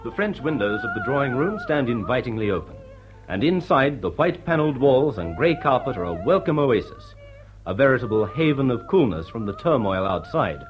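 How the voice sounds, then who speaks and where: A man's voice: medium pitch, sing-song, brisk, dull audio, faint music behind. A man narrating a radio drama in a leisurely, wry storytelling manner. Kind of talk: scripted radio drama narration, scene-setting.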